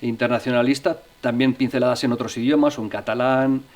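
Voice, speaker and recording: A man's voice: mid-range voice, fairly even intonation, a little fast. Middle-aged man telling stories hesitantly. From Navarre, Spain. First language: Spanish. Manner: hesitant, with frequent restarts and fillers. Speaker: musician and interview guest. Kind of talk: relaxed interview storytelling.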